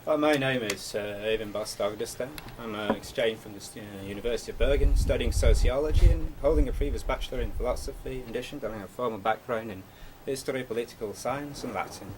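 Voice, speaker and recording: A man's voice: mid-range voice, fast talker. A man exchange student from Norway giving a formal, wordy self-introduction in a classroom. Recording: noisy classroom recording.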